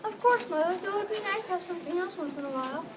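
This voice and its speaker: A woman's voice: very high-pitched, lively intonation, very fast. A female reader playing an eager, studious young daughter in a readers theatre play. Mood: wistful. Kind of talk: readers theatre, reading from a script. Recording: distant mic, loud noisy room chatter.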